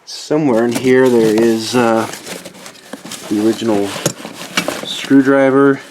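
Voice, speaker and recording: A man's voice: mid-range voice, steady tone, takes it slowly, noisy background. A man casually showing off a ceiling fan in his collection, talking in a rambling, hesitant way, sounding a bit nasal and tired after dental work. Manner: rambling, hesitant, with many restarts. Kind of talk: casual show-and-tell vlog. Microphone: camera on a tripod.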